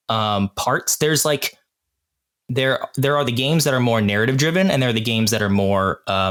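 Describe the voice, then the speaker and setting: Mid-pitched man explaining things thoughtfully. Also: a bit bright. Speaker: podcast cast member, experienced game master. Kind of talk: casual discussion.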